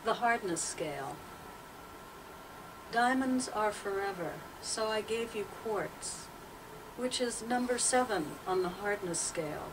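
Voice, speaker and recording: An older woman poet and teacher speaking and reading aloud with measured, deliberate phrasing. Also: mid-range voice, lively intonation. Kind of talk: interview talk and poetry reading aloud. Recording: distant mic, clearly noisy background.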